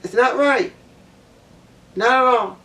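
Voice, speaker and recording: A woman with a low voice giving a blunt opinion monologue, repeating her points for emphasis. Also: slow pace, a bit bright, recorded from a distance. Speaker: vlogger.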